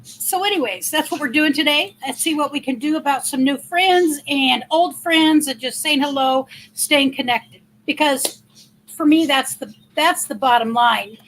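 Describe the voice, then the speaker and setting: High-voiced chatty woman hosting a livestream. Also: a little slow, slight background noise, poor audio. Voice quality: big loud voice. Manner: chatty and rambling, warmly thanking viewers by name. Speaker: livestream host. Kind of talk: casual livestream chat greeting viewers.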